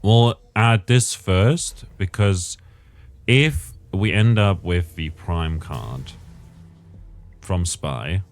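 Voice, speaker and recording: A man giving casual gameplay commentary, thinking aloud with self-corrections, his voice strained lately. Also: low-pitched.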